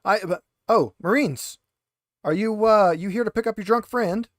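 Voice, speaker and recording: A man's voice: sits high, normal speed, dry recording, studio quality, voice pushed up, lightly acted. A man voicing a frazzled, exasperated female receptionist in a tabletop game, flustered and complaining. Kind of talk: tabletop roleplay character voice.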